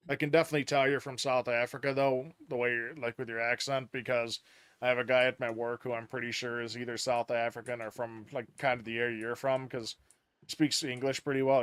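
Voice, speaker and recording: A man's voice: mid-range voice, a bit bright, clear, resonant tone, no room sound. A man streaming a game, chatting casually and explaining at length with many restarts, on a headset mic. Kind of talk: casual gaming chat.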